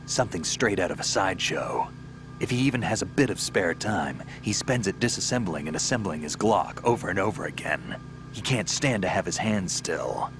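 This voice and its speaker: A man playing a gruff, guarded veteran soldier in a video game, with a terse, dry, sardonic delivery. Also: deep voice, expressive, moderate pace, background noise. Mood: wry, dismissive. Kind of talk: dramatic video game voice acting.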